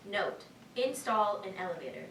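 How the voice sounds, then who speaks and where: A woman's voice: medium pitch, lively intonation, normal speed, fairly bright tone. A young woman taking part as a reader in a staged reading. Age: young adult. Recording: echoey room, far mic, clear noise.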